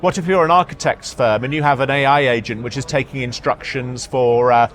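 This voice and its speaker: A man speaking as an executive in a product interview, halting, often repeating words and hesitating as he explains. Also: mid-range voice, steady tone, fairly bright tone. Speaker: marketing executive being interviewed. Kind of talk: product interview at a trade show. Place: trade show floor.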